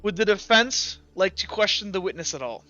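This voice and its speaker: A man's voice: high voice, animated, a little fast, sharp tone, dull audio, faint music behind. A man playing a stern judge who keeps order in a tabletop game courtroom with firm, formal speech.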